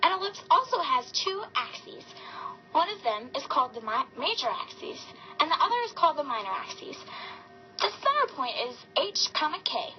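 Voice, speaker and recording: A woman's voice: sits high, big pitch swings, moderate pace, muffled recording, faint music behind. A woman voicing a female sheep puppet who presents a maths lesson to kids. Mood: instructive.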